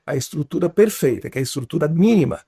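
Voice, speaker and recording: A man speaks with a foreign accent picked up in southern Brazil. His voice is gravelly and sits a little high for a man. He teaches in an animated way, checking in with short tags and dropping into quiet asides. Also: quick pace. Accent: foreign, learned in southern Brazil. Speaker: storytelling consultant, guest. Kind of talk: interview, explaining with examples.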